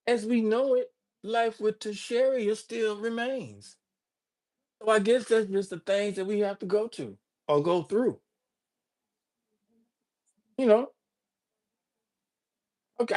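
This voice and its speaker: An older man hosting a casual livestream, rambling and joking as he greets viewers by name. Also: high-pitched. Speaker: livestream host. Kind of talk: casual livestream chat reading viewer comments.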